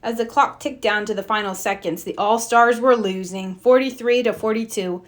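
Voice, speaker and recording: A woman reading a children's storybook aloud as narrator, in an expressive read-aloud style. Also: fairly high pitch, moderate pace. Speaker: storybook reader.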